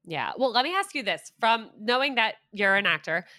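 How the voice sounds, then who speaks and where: A woman's voice: medium pitch, animated, normal speed, sharp tone, no room sound, clean studio sound. A young woman hosting a podcast, talking frankly and with emphasis in a casual interview. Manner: frank and emphatic, mocks bad advice. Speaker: podcast host.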